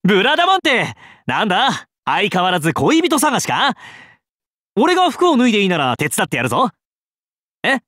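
An actor playing an excitable, brash young knight in a game, comically earnest and exclamatory. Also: male voice, high voice, moderate pace, no room sound, studio quality, heavily acted. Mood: bemused, casual. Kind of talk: scripted game character dialogue.

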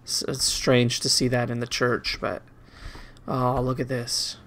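A man's voice: medium pitch, completely flat delivery, a little slow, a bit bright. A man giving casual video game commentary, rambling and muttering playfully to himself as he plays.

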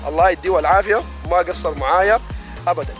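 Rapid-talking man vlogging. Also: sits high, faint music behind. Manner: rapid, enthusiastic, addresses viewers directly. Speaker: vlogger reviewing a city event. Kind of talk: casual chat to viewers.

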